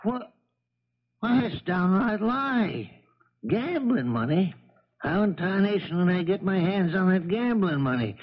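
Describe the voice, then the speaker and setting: An actor playing an old, folksy, honest and bewildered charter boat captain in a 1970s radio drama. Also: male voice, high-pitched, sing-song, a little slow, full character voice. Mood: indignant, bewildered. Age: older. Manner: folksy, old-fashioned exclamations. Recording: dull vintage radio recording.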